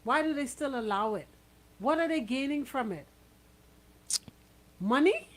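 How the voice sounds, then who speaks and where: A high-pitched woman with a Caribbean accent talks as a radio host. She is blunt and indignant, pressing her points with rhetorical questions in loose, conversational speech. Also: lively intonation, unhurried, slight background noise. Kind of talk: casual talk radio discussion.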